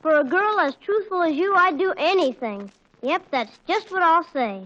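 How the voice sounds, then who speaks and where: An old, dull-sounding radio comedy recording of a cocky schoolboy. The voice sits high, and he needles with smug, deadpan confidence and slangy wisecracks. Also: normal speed, soft background music. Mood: smug teasing. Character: cocky, teasing kid brother, wisecracking schoolboy. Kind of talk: 1940s radio comedy acting. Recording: muffled, band-limited 1940s radio recording.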